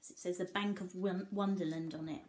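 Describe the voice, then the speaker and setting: A woman reading a picture book aloud to young children, expressive, pausing to explain and ask simple questions like a teacher. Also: on the low side, slight background noise.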